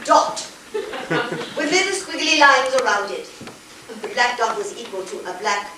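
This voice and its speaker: Actress playing high-voiced, earnest woman, echoey theater. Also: big pitch swings, sharp tone, full character voice. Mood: wry, confiding. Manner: dramatic, savoring words with deliberate pauses. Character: earnest, awkward, wonder-struck woman confiding intimately. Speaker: stage actor. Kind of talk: theatrical stage monologue. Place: college theater stage before an audience. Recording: echoey theater, distant mic, noisy hiss.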